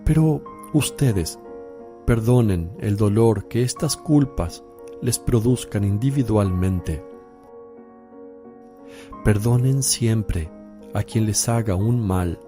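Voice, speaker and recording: An actor playing a calm, solemn male religious teacher who speaks in deliberate phrases, in a radio drama. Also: fairly low pitch, soft background music. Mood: solemn, instructing. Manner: slow, deliberate, preaching phrasing.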